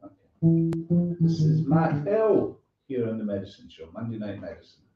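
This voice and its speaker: A man's voice: medium pitch, sing-song, normal speed. A man casually hosting a live online music show, with a hesitant, meandering delivery. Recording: muffled, echoey, far from mic.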